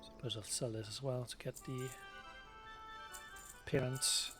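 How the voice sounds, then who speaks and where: A man giving casual let's play commentary, thinking aloud in a musing, unhurried way as he plays. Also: mid-range voice, steady tone. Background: faint game music.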